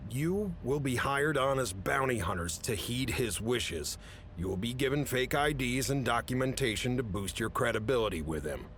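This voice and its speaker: A man acts out an authoritative chief in an audio drama, mid-pitched and businesslike. He lays out orders in a measured, matter-of-fact way. There is a faint rumble behind the voice. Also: lively intonation, fairly bright tone, natural voice. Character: gruff, businesslike male chief briefing agents on a mission. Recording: rumbly background noise.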